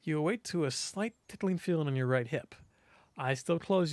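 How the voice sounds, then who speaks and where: A man reading a visual novel aloud as host, in expressive scripted narration. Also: medium pitch, no echo.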